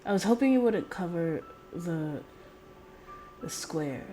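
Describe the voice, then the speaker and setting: Low-pitched, unhurried woman streamer. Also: lively intonation, warm tone, low-quality recording. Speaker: game streamer. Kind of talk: casual livestream chat. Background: music.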